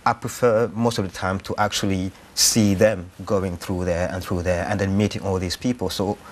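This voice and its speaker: Low-voiced man, hesitant. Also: level tone, moderate pace. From Rwanda. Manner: hesitant, thinking aloud. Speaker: actor-writer being interviewed. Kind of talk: conversational interview answers.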